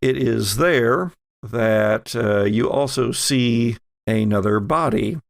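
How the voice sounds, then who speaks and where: A man narrating a horror tabletop game as game master, halting and pausing mid-phrase as he improvises the scene. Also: mid-range voice, gravelly, no room sound.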